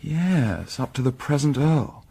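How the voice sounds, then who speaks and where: Casting: discreet Victorian English gentleman, formal and polite, husky mid-pitched voice, lively inflection, period drama. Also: slow, full character voice. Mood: courteous, interested. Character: courteous, guarded Victorian gentleman researcher, discreetly inquisitive. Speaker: actor in an audio drama. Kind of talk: period radio drama acting.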